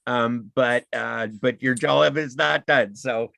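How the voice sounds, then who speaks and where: A man's voice: medium pitch, fairly even intonation, slow pace, gravelly, pushed and bright, no echo. A man hosting a panel on a video call, chatty and joking, laughing often between his words. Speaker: panel host. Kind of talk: casual video call chat.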